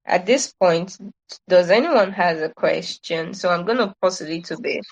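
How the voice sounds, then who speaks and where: A woman's voice: on the low side, normal speed, low-quality recording. A woman giving an informal online presentation, advising in an earnest, emphatic way and repeating her points. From Nigeria.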